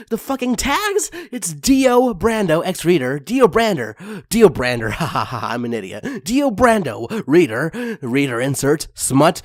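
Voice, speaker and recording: A man reading a fanfiction story aloud as channel host, excitable and crude in his asides. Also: a little high, no echo, studio quality. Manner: excitable and crude in the intro, then steady reading.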